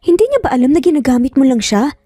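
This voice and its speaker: Woman acting a haughty, pouty, high-pitched young rival. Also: very animated, quick pace, dark tone, no room sound, studio quality, faint music behind. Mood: pouty, demanding. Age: young adult. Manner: affected, pouty, taunting.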